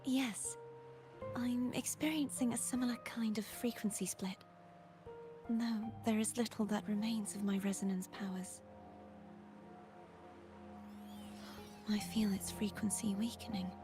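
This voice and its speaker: A woman voicing a composed, enigmatic young woman in a video game, speaking formally and in measured phrases. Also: medium pitch, steady tone, close to an everyday voice. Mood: grave, wondering. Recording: music playing over the voice.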